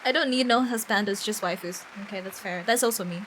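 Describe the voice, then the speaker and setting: A woman hosting a casual game livestream, chatting in a giggly, excitable, gushing way with plenty of swearing. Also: medium pitch, quick pace, slight background noise. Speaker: livestream host.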